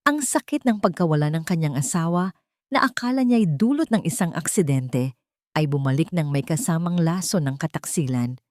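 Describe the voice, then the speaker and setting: A woman's voice: deep voice, expressive, normal speed, darkish tone, no echo, clean studio sound. A woman reading a dramatic scripted story as an audio drama narrator.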